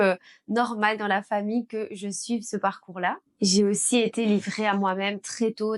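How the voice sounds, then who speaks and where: A young woman with a Belgian French accent talks straight to her viewers about her own path. She is candid and chatty, and she often pauses on small fillers as she goes. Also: mid-range voice. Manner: chatty and candid, with frequent "euh" and "bah" fillers. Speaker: beautician and business owner. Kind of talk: personal story told to camera.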